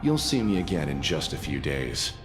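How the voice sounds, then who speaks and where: A man playing a gruff, reluctant fox-folk warrior in a video game, speaking in a terse, curt way. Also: a bass voice, very animated, normal speed, soft background music. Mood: defiant. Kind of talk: scripted game dialogue.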